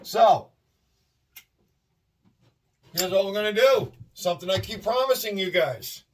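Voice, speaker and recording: Chatty man with a Massachusetts accent. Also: sits high. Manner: chatty, rambling, upbeat. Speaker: scrapping video host. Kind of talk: casual hands-on narration. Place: workshop shed at night. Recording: distant mic, faint noise.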